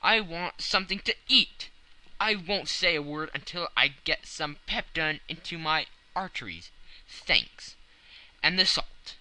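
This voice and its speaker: A weary, hungry Victorian gentleman adventurer from a classic audiobook, played by a male narrator. He speaks earnestly and insistently, pressing his words. The tone is very bright and forceful, with animated intonation. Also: a little high, measured pace, pitched above the performer's own voice, heavily acted, poor audio. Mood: hungry, impatient. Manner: emphatic, earnest. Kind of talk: audiobook character voice.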